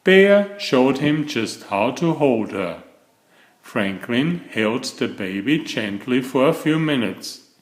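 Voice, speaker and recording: A man reads a children's picture book aloud in an expressive storytelling manner. His pitch moves around a lot and his pace is unhurried. The room adds an audible echo. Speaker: storybook reader and children's author.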